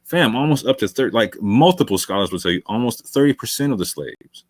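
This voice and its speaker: A man's voice: mid-range voice, lively intonation, a little fast. A male talk show host giving long, emphatic commentary late in a livestream. He repeats phrases for stress, calls listeners fam, and sounds worn from hours on air.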